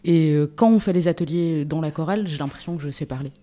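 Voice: mid-range voice, fast talker, dark tone. A speaker in a casual radio discussion, talking in a reflective, conversational way. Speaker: radio show panelist.

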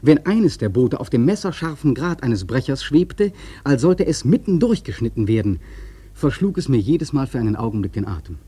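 Husky, animated sailor narrating. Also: male voice, medium pitch, moderate pace, no room sound. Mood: awestruck. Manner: intense, evocative storytelling. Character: awestruck young whaleman sailor recalling the hunt. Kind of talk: dramatic literary radio-play narration.

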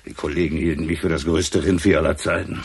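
An actor playing a gruff, disillusioned provincial police inspector in a radio crime drama, terse and colloquial. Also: male voice, very deep voice, fairly even intonation, quick pace, slight background noise. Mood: bitter, sardonic.

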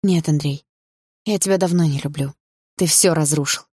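A woman's voice: low voice, moderate pace, a little mellow, slightly rough, no room sound, clean studio sound. A woman voicing a desperate, protective mother in an audiobook, emotional, pleading and defiant. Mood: defiant, furious. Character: desperate protective mother, long-suffering wife standing up. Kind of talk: audiobook character dialogue.

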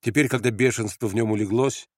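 A man's voice: mid-range voice, fairly even intonation, fast, no room sound, studio quality. A man reading literary prose as a scripted audiobook narration.

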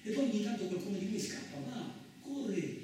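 Halting, echoey migrant student, acted by a man. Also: sits high, big pitch swings, dark tone, far from the mic, pitched above the performer's own voice. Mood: grim, urgent. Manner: halting broken Italian, searching for words. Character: Polish student migrant labourer, male, grimly resigned, secretive. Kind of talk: radio theatre monologue acting. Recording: very echoey room, off-mic, some noise.

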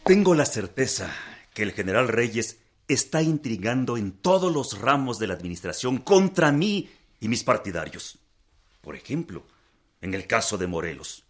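Earnest, animated male political leader. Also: mid-range voice. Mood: troubled, indignant. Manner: formal, emphatic, measured oratory. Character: earnest, indignant male revolutionary political leader, formal and insistent. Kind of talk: historical radio drama acting.

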